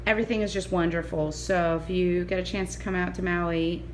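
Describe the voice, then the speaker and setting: A young woman giving an excited, chatty hotel room tour, her voice a little hoarse and raspy, filmed on a handheld phone. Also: on the low side, brisk, slight background noise. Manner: bubbly, excited, chatty with fillers. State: slightly hoarse, lost voice. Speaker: travel vlogger. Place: hotel room and ground-floor patio. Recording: roomy echo, faint ambience.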